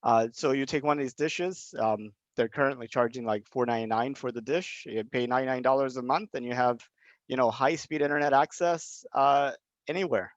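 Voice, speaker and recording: A man's voice: medium pitch, brisk, no room sound. A man from Southeast Texas talking casually and unscripted, explaining things in a hesitant, rambling way as an interviewer. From Southeast Texas, USA. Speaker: interviewer running a video project. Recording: dull lo-fi call audio.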